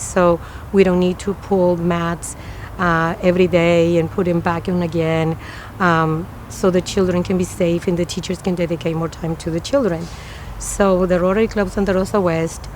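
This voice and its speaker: Earnest Peruvian-born woman, lowish voice. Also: a little mellow, a little hiss or hum. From Peru. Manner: earnest, with non-native phrasing and many fillers. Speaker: preschool director. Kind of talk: interview.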